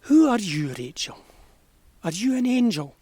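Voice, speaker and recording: Man acting a grieving old Scottish beekeeper, high-pitched. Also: sing-song, a little slow, dark tone, husky, no echo, heavily acted. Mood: gently teasing. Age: older. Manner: musing, rambling, dialect-rich. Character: frail, grieving old Scottish beekeeper, gruff but whimsical. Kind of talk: audio drama dialogue.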